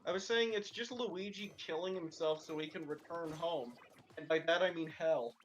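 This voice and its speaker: A man chatting casually and joking in a deadpan, wisecracking way. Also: fairly high pitch, normal speed, dull audio, soft background music. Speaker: co-reader.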